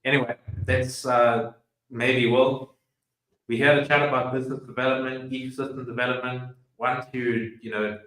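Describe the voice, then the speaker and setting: A man's voice: mid-range voice, flat delivery, moderate pace, a bit bright. Laid-back South African man, echoey room. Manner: laid-back, chatty, lots of fillers and "like". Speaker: podcast host. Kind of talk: casual podcast chat.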